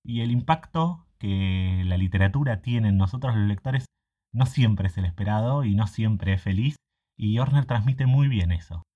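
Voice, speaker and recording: A man's voice: fairly low pitch, moderate pace. Man, slightly dull lo-fi recording. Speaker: literary translator, guest. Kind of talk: prepared monologue presenting a book.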